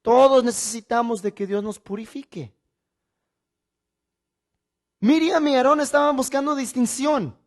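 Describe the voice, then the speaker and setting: A male preacher teaches from the Bible in a sermon style. He phrases each point deliberately and with emphasis, leaning on repetition and questions put to his listeners. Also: extremely high pitch.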